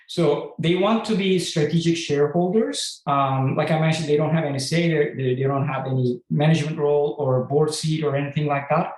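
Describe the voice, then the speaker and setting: A man's voice: a little high, darkish tone. A man from Turkey, a company CEO answering conference questions in a hesitant, explanatory way with many restarts. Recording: echoey room.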